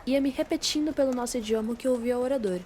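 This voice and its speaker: Casting: young Brazilian female narrator, scripted classic-story reading, higher-than-average voice, lightly noisy amateur recording. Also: very fast, mellow. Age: teenager or young adult. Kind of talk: scripted literary narration for student radio drama. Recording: faint background noise.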